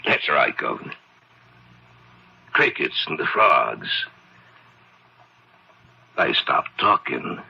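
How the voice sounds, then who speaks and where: An actor playing a sinister, mocking stranger with a London accent in an old radio horror drama, speaking in a menacing, insinuating way. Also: male voice, rumbling low, big pitch swings, slow, gravelly, pauses often, breathy edge, full character voice. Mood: taunting. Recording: noisy, muffled old radio recording.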